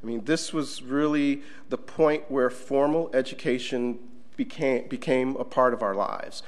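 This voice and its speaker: A man's voice: medium pitch, measured pace. A middle-aged man giving a conference presentation in a casual, conversational way, with fillers, at a table microphone in a conference room. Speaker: conference speaker.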